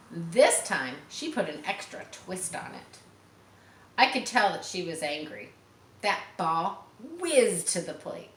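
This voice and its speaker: A woman reading a children's story aloud expressively, pausing with chatty explanations for young listeners. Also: medium pitch, fairly bright tone. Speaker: storybook reader. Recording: roomy, distant mic, faint background noise.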